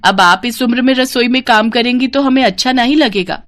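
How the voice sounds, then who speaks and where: A woman's voice: a little high, fairly quick, fairly bright tone, voice pushed up, lightly acted. A young Bihari daughter-in-law in a bedtime tale, acted by a woman. She sounds earnest and eager to please, speaking politely and apologetically in a Bihari accent. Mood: apologetic, anxious.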